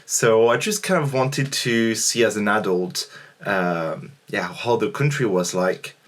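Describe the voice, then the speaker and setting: A young man telling about his travels in a relaxed, chatty way, with lots of small fillers. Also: on the low side, measured pace. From Morocco. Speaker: travel vlogger. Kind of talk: casual travel vlog narration.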